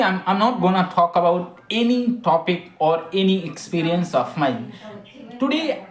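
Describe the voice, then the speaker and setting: A woman's voice: medium pitch, reverberant. A woman with an Indian English accent and Hindi as her first language, talking casually to camera with many restarts and small grammar slips. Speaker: vlogger.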